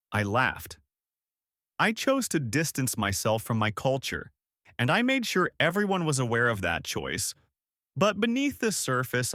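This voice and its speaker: A man reading a personal essay aloud in a steady read-aloud delivery. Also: mid-range voice, no room sound, clean studio sound. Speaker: essay reader.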